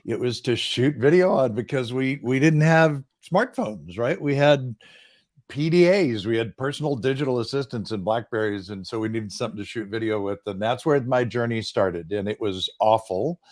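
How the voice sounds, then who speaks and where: A man in his sixties, a business coach, telling his story in an earnest, self-deprecating way on a boom mic. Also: moderate pace, a little mellow. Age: 60s. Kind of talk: casual interview chat. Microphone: boom microphone.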